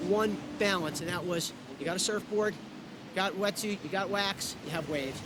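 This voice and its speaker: A man's voice: high-pitched, normal speed, background noise. An older man from New York, a veteran surfer, reminiscing emphatically in a documentary interview. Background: loud music.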